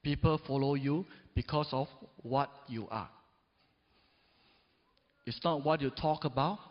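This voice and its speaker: A man in his forties giving a motivational stage speech, emphatic and often asking the crowd "right?" and "yes or no?". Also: a little slow. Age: 40s. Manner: peppers talk with "right?" and "yes or no?" to the audience; emphatic, swings between loud rises and low drops. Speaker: motivational speaker. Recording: dull recording in a big reverberant hall.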